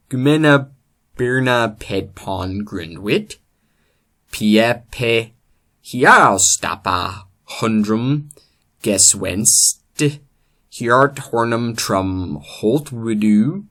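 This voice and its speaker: A man playing a solemn bard who declaims epic verse in a slow, loud, drawn-out recitation. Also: medium pitch, full character voice. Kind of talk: dramatic Old English verse recitation.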